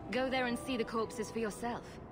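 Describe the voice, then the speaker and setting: A woman's voice: on the high side, steady tone, normal speed, background noise, close to an everyday voice. A woman voicing a confident, sardonic hero in a video game. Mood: matter-of-fact. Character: confident, wry adult female hero.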